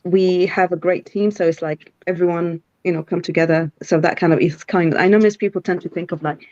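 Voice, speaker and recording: A woman's voice: lowish voice, fairly even intonation, fairly quick, warm tone, no room sound, lo-fi sound. A middle-aged woman, a CEO guest in a live online interview who talks thoughtfully with many fillers and restarts. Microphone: video call.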